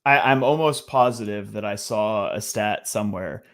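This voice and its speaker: Podcast co-host guy, lowish voice, chill questions and stats. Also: moderate pace, a bit bright. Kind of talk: casual sports podcast chat.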